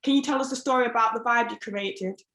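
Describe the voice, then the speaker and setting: A woman hosts a relaxed radio interview, enthusiastic and quick to laugh. Her voice sits a little high. The recording carries a noticeable room echo. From Manchester, England. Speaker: radio host. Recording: echoey room.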